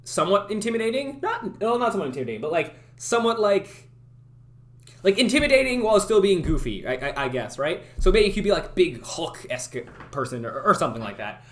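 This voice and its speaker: A young man streaming his game development, chatting casually and thinking out loud as he works, often correcting himself. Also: sits high, big pitch swings, fast. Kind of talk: casual live-stream chat while working.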